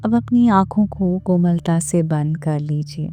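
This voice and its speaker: A woman leading a guided meditation in a gentle, measured, soothing manner, with pauses between phrases. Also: on the low side. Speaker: meditation guide and series host. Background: background music.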